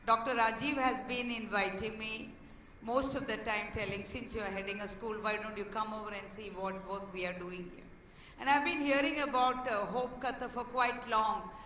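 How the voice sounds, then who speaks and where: Hoarse woman, school head, earnest event speech, bright voice, echoey hall, distant mic. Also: fairly high pitch, moderate pace, a little hiss or hum. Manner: earnest, with fillers and restarts. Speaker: guest speaker, school head. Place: large hall.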